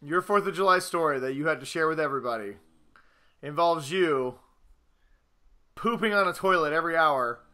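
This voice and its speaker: A man's voice: fairly high pitch, animated, normal speed, long pauses, bright, forceful tone. A man co-hosting a video podcast, joking and teasing his co-host in casual banter. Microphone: video podcast mic.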